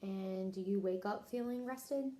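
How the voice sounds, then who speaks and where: A woman's voice: mid-range voice, measured pace, warm tone, slight background noise. A woman interviewing someone for a health history, working methodically through prepared questions and briefly acknowledging answers. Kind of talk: scripted clinical questionnaire interview.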